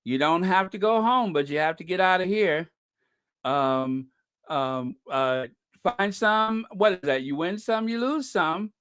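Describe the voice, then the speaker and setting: A live-stream host winds down a broadcast in loose, rambling talk and greets chat viewers by name. The delivery is slow and unhurried, and the pitch swings widely as they joke and chuckle. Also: expressive, fairly bright tone, clean tone. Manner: rambling, joking, chuckling at own jokes.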